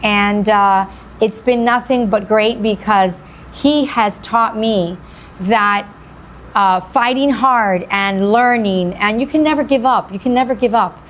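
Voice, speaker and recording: A woman's voice: mid-range voice, a little slow, a little hiss or hum. A woman hosting a radio interview, gushing and repeating phrases for emphasis. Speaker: radio show host. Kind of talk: enthusiastic interview.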